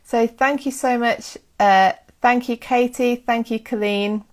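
A woman's voice: on the high side, measured pace. Chatty woman hosting a live stream. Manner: excitable, chatty, thanks viewers by name. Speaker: history livestream host. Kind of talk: casual live-stream chat.

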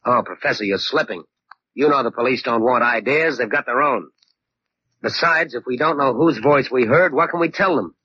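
An actor playing a cool, needling tough detective with slangy, confident talk in a 1940s radio drama. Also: male voice, mid-range voice, moderate pace, bright, forceful tone, a little hiss or hum, natural voice. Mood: resolved. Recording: muffled old 1940s radio recording.

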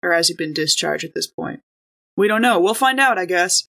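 A woman's voice: lowish voice, animated, normal speed, creaky, pushed and bright. A woman playing a tabletop role-playing game, chatting informally and thinking aloud as she improvises. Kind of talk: casual improvised tabletop role-play chat.

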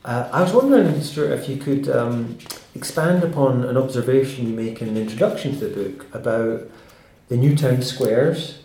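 A man's voice: medium pitch, moderate pace, mellow. A man speaking as an interviewer in a thoughtful, tentative, conversational way. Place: indoor room with some echo. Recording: roomy, echoing indoor sound.

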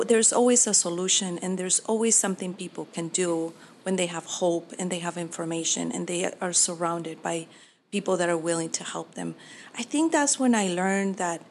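A woman's voice: fairly low pitch, a little mellow, slight background noise. A woman, giving earnest, reflective interview answers with frequent restarts. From Peru. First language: Spanish. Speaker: mortgage professional.

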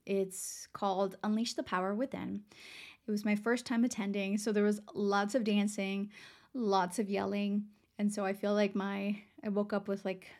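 A young adult woman from Texas hosting a casual motivational podcast, her voice raspy and worn, chatty and upbeat as she talks straight to her listeners. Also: mid-range voice, flat delivery, moderate pace, a little mellow. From San Antonio, Texas. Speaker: podcast host.

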